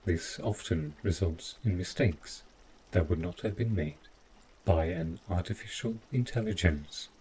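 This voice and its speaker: A deep-voiced robot butler played by a man speaks slowly and with stiff courtesy. He is protective and evasive, choosing formal, measured words as in an audio drama. A light background noise sits behind the voice. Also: mellow, low-quality recording. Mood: evasive, insistent. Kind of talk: scripted audio roleplay addressed to the listener.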